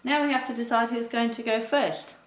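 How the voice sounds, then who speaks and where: A woman's voice: a little high, fairly even intonation, brisk. A woman talking a partner through a board game like a teacher, explaining step by step and counting aloud. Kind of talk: instructional game play-through talk, addressing a partner. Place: indoor room, game on a table. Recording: roomy, distant mic with noise.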